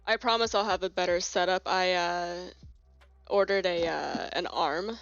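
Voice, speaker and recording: A young woman streaming from her home desk, chatting casually in a rambling, relaxed way, a bit sniffly, recorded on a phone on a holder. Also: fairly low pitch, unhurried, gravelly, no room sound. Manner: rambling, relaxed, many fillers and restarts. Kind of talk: casual live-stream chat while working on keyboard switches. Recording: slightly dull lo-fi phone mic with faint music. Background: faint music and keyboard clicking.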